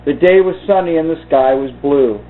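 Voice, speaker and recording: A man reading aloud and commenting informally in a halting, deliberate way. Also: a little high. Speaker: video host reading from a book. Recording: muffled phone-like narrow-band sound.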